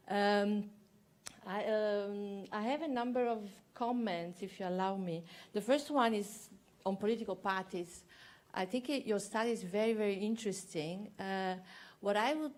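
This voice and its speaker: A woman's voice: mid-range voice, normal speed, a little mellow. A woman political analyst in the audience, introducing herself politely with hesitant fillers before her question.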